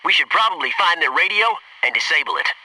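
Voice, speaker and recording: An actor playing a focused, businesslike Navy SEAL in a military video game, giving terse, clipped tactical callouts and matter-of-fact reports. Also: male voice, on the high side, sing-song, quick pace, recorded from a distance, noisy background. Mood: businesslike reporting.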